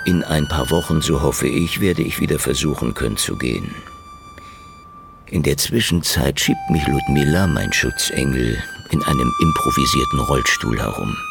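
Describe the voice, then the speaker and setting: An actor playing a battered, stubborn Soviet private detective, narrating a noir radio drama in a gravelly, brooding, sardonic voice. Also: male voice, rumbling low, exaggerated voice. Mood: wry, weary. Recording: loud music behind the voice. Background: music.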